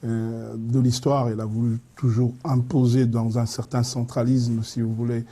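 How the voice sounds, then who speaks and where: A man speaking as a studio debate guest. His voice is very dark and husky, and he builds long, winding arguments with frequent pauses. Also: medium pitch, little pitch movement. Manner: measured, long winding sentences with hesitations.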